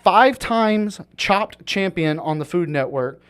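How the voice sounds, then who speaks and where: A man's voice: high-pitched, animated, takes it slowly, a bit bright, clear, resonant tone. A man hosting a podcast, talking in a rambling, casual way with lots of fillers. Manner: rambling, many fillers and restarts. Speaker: podcast host. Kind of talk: casual chat.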